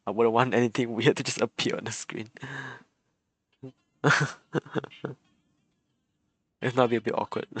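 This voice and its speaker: Young male streamer, chatty, rambling, laughs easily, average pitch. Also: fairly even intonation, slow pace, long pauses, a bit bright, breathy edge, no room sound. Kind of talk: casual live game commentary.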